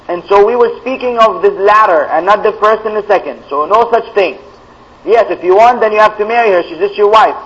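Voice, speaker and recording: A man's voice: high voice, fairly bright tone, lo-fi sound. A man whose first language is Arabic, answering viewers' religious questions live as a preacher, reading each question aloud and replying firmly, repeating key phrases for stress.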